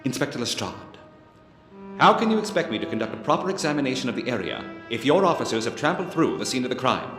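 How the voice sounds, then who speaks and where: An actor playing a keen, coolly analytical Victorian detective, speaking in precise, theatrical deductions in film dialogue. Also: male voice, medium pitch, big pitch swings, fast, a bit bright. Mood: brisk, critical. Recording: reverberant hall sound with music underneath.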